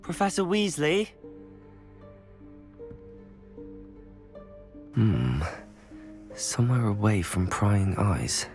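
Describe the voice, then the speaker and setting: Polite young male student, curious, lively intonation, game dialogue, faint music. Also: on the low side, takes it slowly, full character voice.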